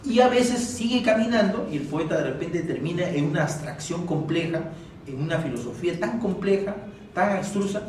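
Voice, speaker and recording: A man's voice: sits high, darkish tone. A man with a Peruvian accent giving an extemporaneous literary speech at a book presentation, in a didactic, ornate manner with rhetorical questions. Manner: ornate, erudite vocabulary. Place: echoey indoor room at a live event. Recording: very echoey room, distant mic, faint noise.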